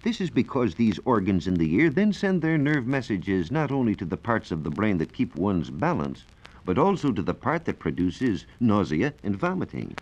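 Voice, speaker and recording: A low-voiced American man explains science on 1940s radio. His pitch swings up and down a good deal as he speaks, while his delivery stays formal and measured. The old recording sounds dull and lo-fi. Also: big pitch swings, darkish tone. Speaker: science reporter on a radio program. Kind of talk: 1940s radio educational talk, scripted explanation. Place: radio studio.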